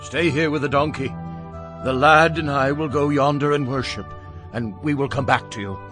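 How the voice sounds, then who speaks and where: A man's voice: mid-range voice, fairly even intonation, moderate pace, over music, close to an everyday voice. A man playing a solemn, devout elderly patriarch in a dramatized Bible reading. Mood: obedient, grave. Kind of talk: dramatized scripture acting.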